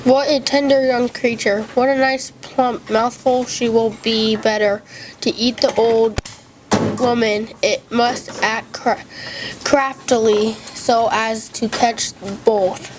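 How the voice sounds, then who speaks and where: A woman's voice: on the high side, steady tone, slow pace, noisy background. A woman voicing a sly talking wolf that sweet-talks with feigned kindness, read aloud from a storybook. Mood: coaxing.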